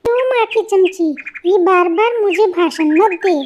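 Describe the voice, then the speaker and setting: A woman's voice: very high voice, measured pace, darkish tone, slight background noise. A woman voicing a lazy older sister bird, irritable and dismissive, in a cartoon story. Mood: annoyed.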